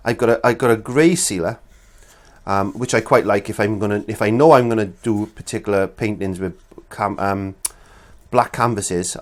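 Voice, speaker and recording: Middle-aged male art instructor, stuffy-nosed from a cold, rambling and friendly, lapel mic in a small studio. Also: on the low side. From Wales. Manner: chatty, rambling, many restarts and fillers. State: congested from a cold. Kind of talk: casual demonstration lesson.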